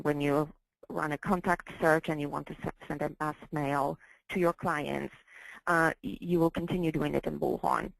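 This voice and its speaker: A woman working as a software trainer, walking through slides in a deliberate, somewhat hesitant way and repeating phrases as she explains. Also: low voice, creaky, no room sound, low-quality recording. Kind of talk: slide-based training walkthrough.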